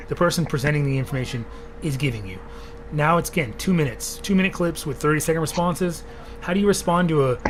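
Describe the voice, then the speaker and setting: A man with a raspy voice after recent throat surgery, hosting a casual live podcast and rambling through his thoughts with many fillers. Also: on the high side, noisy background. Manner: rambling, thinking aloud with fillers. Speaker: podcast host. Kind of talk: casual livestream chat.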